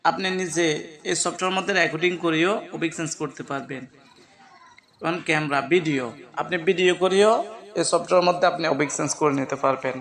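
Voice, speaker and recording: A man's voice: on the high side, fast talker, a bit bright. A man gives a spoken step-by-step app tutorial, addressing viewers directly as friends. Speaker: tutorial host.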